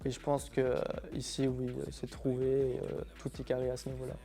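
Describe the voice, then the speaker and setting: A nineteen-year-old man from Belgium, founder of a clothing brand, answering interview questions in a relaxed, chatty way full of fillers. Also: mid-range voice, brisk, gravelly. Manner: relaxed and chatty, many fillers, calls the viewers "guys". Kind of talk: casual interview answers to camera. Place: pop-up shop event.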